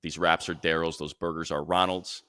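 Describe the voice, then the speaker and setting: A man's voice: very deep voice, little pitch movement, moderate pace, bright, forceful tone, clean tone, no echo, studio quality. A man co-hosting a sports talk show, chatting casually and telling stories like a radio announcer, acting out the dialogue. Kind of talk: casual chat and storytelling.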